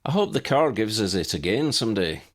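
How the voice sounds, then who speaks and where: Young Irish wizard played by a male reader, friendly and chatty, lilting accent, very animated, slightly husky, for a fantasy audiobook. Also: on the low side, moderate pace. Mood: wistful.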